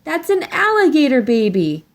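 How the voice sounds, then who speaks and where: A woman's voice: high voice, huge pitch swings, normal speed, pitched above the performer's own voice. Woman voicing a stubborn, emphatic little girl. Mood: indignant. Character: skeptical, stubborn little girl, an older sister.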